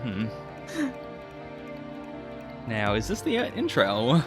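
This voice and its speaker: A man's voice: lowish voice, huge pitch swings, slow, music in the background. A man chatting casually as a podcast co-host, reacting to anime as he watches.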